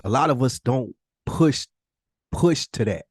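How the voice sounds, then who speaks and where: A man's voice: mid-range voice, lively intonation, a little slow, breathy edge, no room sound, studio quality. A man in casual podcast conversation, speaking bluntly with slang, swearing and emphatic repetition. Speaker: podcast co-host.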